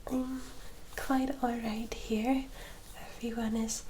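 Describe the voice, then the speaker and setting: A woman acting as a young female vampire in an ASMR roleplay, whispering softly and extremely slowly. Her wording is formal and courteous, yet hesitant, with an eager streak. The pitch moves only a little, and faint noise sits behind her. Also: little pitch movement. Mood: flustered, excited. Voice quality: soft breathy whisper. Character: polite, excitable young female vampire sister.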